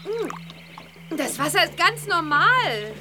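A woman's voice: very high-pitched, very animated, takes it slowly, music in the background, full character voice. A woman playing a plucky, cheeky young witch girl in a children's audio drama, with emphatic delivery. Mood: busy, matter-of-fact. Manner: emphatic, chants rhyming spells.

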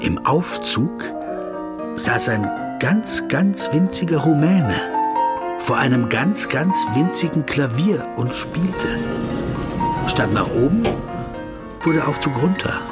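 A stocky, fussy legal counsel telling a strange travel tale in a radio drama. He speaks deliberately and relishes repeated words and sounds. Clear music runs behind the voice. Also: fairly high pitch, animated. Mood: wry, musing. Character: stocky, fussy male legal counsel recounting a surreal trip. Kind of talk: literary first-person narration in a radio play.

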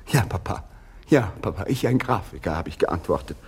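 An actor playing a vain, touchy, antisemitic young painter in a theatrical radio play, speaking in a pompous, defensive way. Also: male voice, sits low, animated, a little fast, darkish tone, husky, full character voice. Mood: defiant, emotional.

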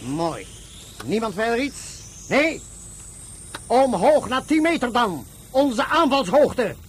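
An actor playing a resolute, exacting fleet commander in a radio drama, giving clipped, authoritative orders. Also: male voice, extremely high pitch, sing-song, slow pace, slight background noise, full character voice. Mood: brisk, commanding.

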